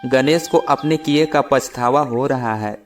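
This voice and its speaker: A man's voice: medium pitch, a little slow, soft background music, close to an everyday voice. A man voicing a friendly, helpful villager in a children's moral story, eager and familiar in manner. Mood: earnest, pleading.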